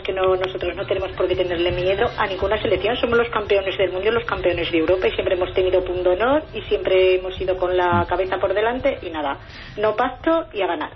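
A woman's voice: mid-range voice, a little fast. A woman with an accent from Spain calling a radio show, giving a firm, plain-spoken opinion. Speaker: radio phone-in caller. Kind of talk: casual opinion on a call-in show. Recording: dull radio phone call over music.

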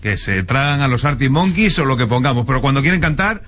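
A man's voice: medium pitch, lively intonation, fast talker. A man from Spain hosting a radio sports show, running through the news with wry asides. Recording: dull radio sound with music under.

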